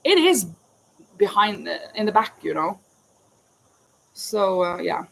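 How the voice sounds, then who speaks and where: A woman's voice: medium pitch, big pitch swings, slow, creaky, slight background noise, rough recording. A woman with a Norwegian accent chatting casually and rambling a little while crocheting live, answering viewers' questions. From Norway. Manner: chatty, rambling, self-correcting. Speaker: crochet vlogger and teacher. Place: home in Spain, crickets outside.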